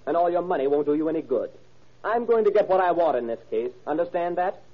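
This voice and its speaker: A man's voice: fairly high pitch, expressive, fast talker. An actor playing an angry, sarcastic young son of a wealthy father in a 1930s American radio drama. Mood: defiant. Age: young adult. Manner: heated, sarcastic, argumentative. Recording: muffled vintage radio drama recording.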